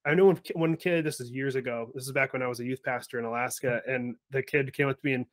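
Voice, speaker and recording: A young man hosting a podcast over a video call, chatting casually and telling stories with lively acted-out voices. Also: mid-range voice, quick pace. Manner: animated storyteller, acts out voices in anecdotes. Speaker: podcast host. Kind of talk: casual interview chat. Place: home or office, video call.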